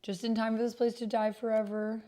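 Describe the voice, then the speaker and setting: A woman's voice: a little high, little pitch movement, fairly quick, dark tone. A woman streamer chatting casually with viewers, murmuring bits aloud as she types at a keyboard. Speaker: streamer hosting an actual play. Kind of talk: casual livestream chat.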